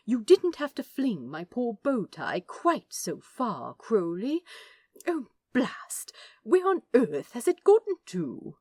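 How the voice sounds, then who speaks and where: Fussy, easily scandalised male angel played by a woman, high, swooping delivery, prim and old-fashioned, for a storybook. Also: wildly swooping pitch, slow pace, mellow, no echo, heavily acted. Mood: mildly annoyed.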